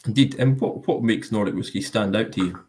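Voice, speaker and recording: A man hosting a live whisky tasting in a casual, self-deprecating way, often pausing with ums. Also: lowish voice, a little mellow.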